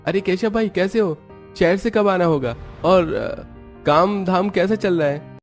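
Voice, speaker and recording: A man's voice: sits high, a little slow, faint music behind. A man playing a hard-up, testy but caring village labourer in a cartoon story, earnest and defensive.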